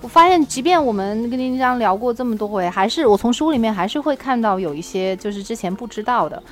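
High voiced lady podcast host, super animated, teasing and nosy questions, casual chat. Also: fast talker, soft background music.

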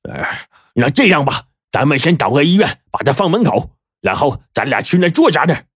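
A man's voice: a little high, very animated, fairly quick, breathy edge, no room sound, clean studio sound, pitched above the performer's own voice, full character voice. A man voicing a hot-tempered, scheming male crook who barks orders in a spoken story. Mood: deciding. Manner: shouting, bossy.

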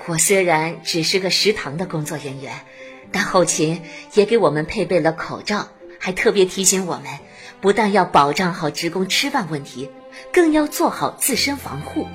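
An actress playing a warm, dutiful middle-aged canteen worker and mother in a radio drama, chatty and earnest. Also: on the low side, lively intonation, moderate pace, soft background music, natural voice. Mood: earnest, reflective. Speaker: narrator and lead character. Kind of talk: radio drama acting with first-person narration.

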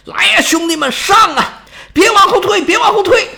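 A man's voice: very high-pitched, moderate pace, gravelly, pushed and bright, voice pushed up, full character voice. A male storyteller voicing a boastful, hot-tempered Roman general bellowing war cries and threats. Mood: urgent, rousing.

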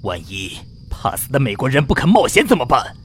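A man playing an anxious, excitable spaceship navigator in a science fiction radio drama. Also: mid-range voice, fairly quick, slight background noise. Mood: alarmed, urgent.

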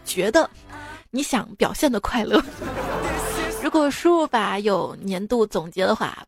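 A woman's voice: mid-range voice, very animated, measured pace, gravelly, a crowd in the background. A young adult woman hosting a radio joke show, reading quips in a playful, teasing way and laughing at her own lines. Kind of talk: reading jokes with laughs, casual radio talk. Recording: music bed under the voice. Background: background music.

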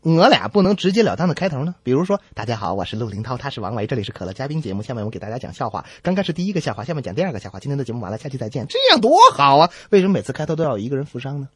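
A man co-hosting a comedy radio show, delivering scripted banter with animated mock exasperation. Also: medium pitch, racing, hardly any pauses, slightly rough, no echo. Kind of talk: scripted comic banter between radio hosts.

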